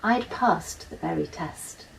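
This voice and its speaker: A woman's voice: on the low side, animated, slow pace, gravelly, far from the mic, a little hiss or hum, rough recording. A woman narrating a first-person children's story expressively, as a school-age storyteller. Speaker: author reading from a children's novel.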